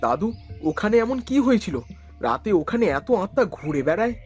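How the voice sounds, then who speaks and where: A man's voice: high voice, big pitch swings, brisk, faint music behind. A man playing a wary, curious young hero who also narrates a horror cartoon dramatically.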